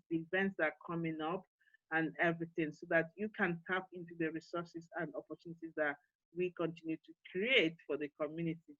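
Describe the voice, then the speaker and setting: A woman's voice: on the low side, no room sound, dull audio. Earnest Nigerian woman on a video call. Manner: earnest, encouraging, with frequent fillers and restarts. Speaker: forum co-founder and CEO hosting an online summit. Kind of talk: panel talk and closing remarks.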